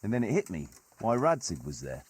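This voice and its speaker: An actor playing a gruff, wry medieval nobleman turned mercenary leader in a video game, telling stories in colloquial, old-fashioned turns of phrase with a husky voice. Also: on the low side, wildly swooping pitch, a little fast, heavily acted. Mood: animated storytelling. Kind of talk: dramatic storytelling in a video game cutscene.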